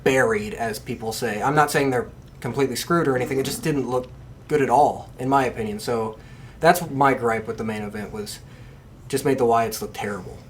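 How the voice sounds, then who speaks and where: A man's voice: mid-range voice, fairly quick, slight background noise. A man co-hosting a casual podcast discussion and giving his opinions conversationally. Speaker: podcast co-host.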